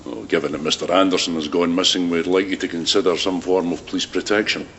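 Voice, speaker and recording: A calm police detective in a radio play. His voice is low and he questions in a probing, official way. The track is slightly muffled with some noise. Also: quick pace. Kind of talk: naturalistic radio drama acting.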